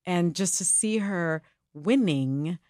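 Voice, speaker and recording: A woman's voice: on the low side, takes it slowly, dry recording, studio quality. A middle-aged woman whose first language is Spanish, talking candidly and emphatically in an interview. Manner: animated, emphatic, with laughs and restarts. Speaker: co-host and guest.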